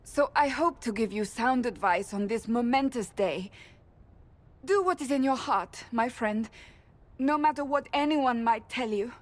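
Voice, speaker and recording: A woman's voice: a little high, unhurried, lightly acted. A woman voicing an earnest, dignified loyal friend and adviser in a video game cutscene. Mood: earnest, warm.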